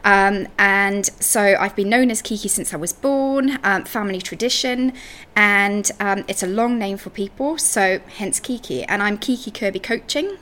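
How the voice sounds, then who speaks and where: A woman's voice: medium pitch, normal speed, fairly bright tone. A woman from Zimbabwe speaking as a podcast guest and coach in a conversational interview.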